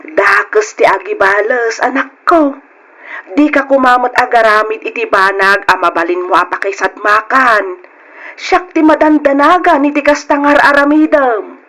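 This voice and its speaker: A woman playing a worried, gentle mother in an Ilocano radio drama, earnestly admonishing and pleading. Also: fairly high pitch, measured pace.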